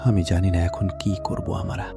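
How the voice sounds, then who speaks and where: A poor, honest farmer acted by a man in a cartoon folk tale, with a lowish voice. He speaks earnestly and plaintively as a humble family man, with music clearly playing behind him. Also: fairly even intonation, moderate pace, natural voice. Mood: anguished. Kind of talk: cartoon fairy tale acting.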